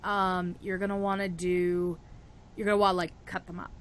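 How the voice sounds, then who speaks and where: A young woman with a cold-roughened, raspy voice, chatting casually through a spell-making tutorial and laughing often. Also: lowish voice, flat delivery, moderate pace, a little hiss or hum. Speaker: witchcraft vlogger.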